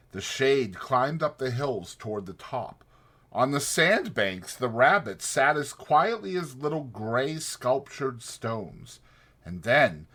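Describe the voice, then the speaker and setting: A man narrating a literary novel as a dramatized audiobook, reading deliberately and expressively. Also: medium pitch, sharp tone, breathy edge.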